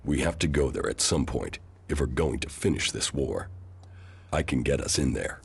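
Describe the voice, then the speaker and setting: An actor playing a stoic, terse ex-commander in a video game, with a gravelly, deliberate delivery. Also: male voice, rumbling low, expressive, full character voice. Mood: stern, determined. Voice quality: gravelly, creaky. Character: stoic deep-voiced male ex-commander, deserter turned war fighter. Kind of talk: dramatic game dialogue.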